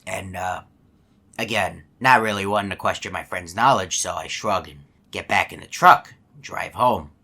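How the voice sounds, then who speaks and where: Deep, bright-toned folksy animatronic storyteller. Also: male voice, moderate pace, breathy edge, heavily acted. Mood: easygoing, musing. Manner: rambling, hesitant, mild. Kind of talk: anecdotal monologue in a video game.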